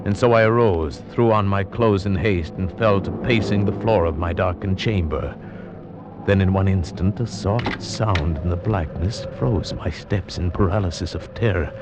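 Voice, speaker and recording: An actor playing an earnest, nervous gentleman who narrates in a solemn, measured manner in an old-time radio drama. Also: sits low, heavy background noise, close to an everyday voice. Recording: muffled old radio recording with music.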